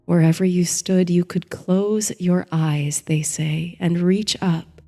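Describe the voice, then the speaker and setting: A woman narrating a guided bedtime meditation in a soothing, gentle, hushed manner, reading from a script. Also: fairly low pitch, fairly even intonation, slow. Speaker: host and narrator of a sleep story series. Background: soft music.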